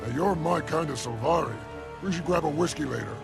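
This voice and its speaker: A woman playing a gruff, swaggering beast-warrior tank commander in a video game, with a gravelly voice and a brash, wry manner. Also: low-pitched, fairly quick. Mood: wry, boisterous. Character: gruff, swaggering female charr warband leader, tank commander. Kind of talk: video game cutscene acting. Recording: loud game music behind.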